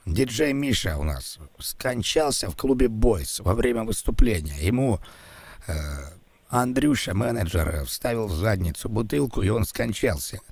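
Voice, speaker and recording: A man's voice: on the low side, lively intonation, normal speed, creaky, darkish tone, slightly rough, no echo, exaggerated voice. A man voicing a pleading, silly rich businessman in a prank call, stammering and repeating himself as he wheedles. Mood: wheedling.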